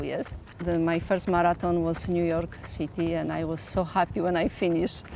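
Polish woman runner, gravelly voice. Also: lowish voice, darkish tone, a little hiss or hum. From Poland. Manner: halting, non-native phrasing with many restarts. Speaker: ultramarathon runner. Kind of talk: documentary interview.

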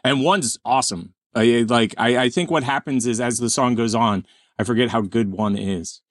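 A man's voice: medium pitch, normal speed, dry recording, clean studio sound. A man hosting a podcast, reacting to a sketch with laughter and broken-off sentences. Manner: laughing, animated, trailing off mid-sentence. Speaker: podcast host. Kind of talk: casual chat.

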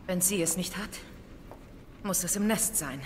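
A woman's voice: lowish voice, unhurried, background noise, lightly acted. A woman playing a cool, determined, sardonic female agent in an audio drama, commanding and wry. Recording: long reverb, music behind.

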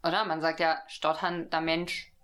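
A woman's voice: sits low, level tone, moderate pace, fairly bright tone. A young woman co-hosting a podcast in casual chat, mumbling a bit, laughing and self-mocking. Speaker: podcast co-host.

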